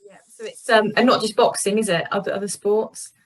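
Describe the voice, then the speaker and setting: A woman's voice: medium pitch, steady tone, a little fast, rough recording. A British woman conducting a casual interview, putting questions in a tentative, conversational way. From Cornwall, England.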